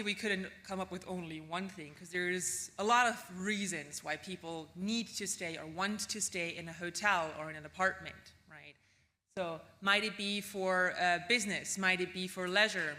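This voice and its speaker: Woman doing a conference keynote, kinda chatty, lots of "right?", bright voice, mid pitch. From Austria. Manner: casual, chatty delivery with frequent ums and tag questions. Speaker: keynote speaker.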